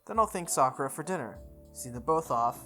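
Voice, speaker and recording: A woman hosting a visual novel playthrough, reading the text aloud in a measured way and commenting casually. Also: low-pitched, expressive, fairly bright tone, faint music behind. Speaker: gaming video host.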